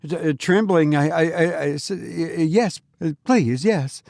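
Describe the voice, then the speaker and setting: A man hosting a podcast and telling stories informally in the first person, with a halting, hesitant delivery. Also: fairly high pitch, gravelly, darkish tone, dry recording, studio quality. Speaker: podcast host and narrator.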